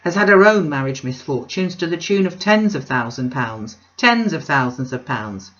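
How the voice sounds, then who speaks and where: A woman's voice: low voice, animated, normal speed, fairly bright tone, highs cut off. A woman voicing a pompous, self-satisfied wealthy man, emphatic and dismissive, in a storybook reading. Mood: scolding.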